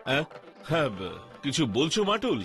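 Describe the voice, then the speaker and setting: A man playing a polite, worried gentleman in a cartoon, speaking hesitantly and anxiously. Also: fairly high pitch, huge pitch swings, normal speed, soft background music. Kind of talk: cartoon dubbing in Bengali.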